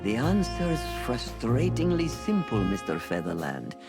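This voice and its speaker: A performer playing a cold-blooded crocodile crime boss in a noir video game, speaking in a measured, formal, condescending way. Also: mid-range voice, big pitch swings, loud music behind the voice. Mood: aloof, dismissive.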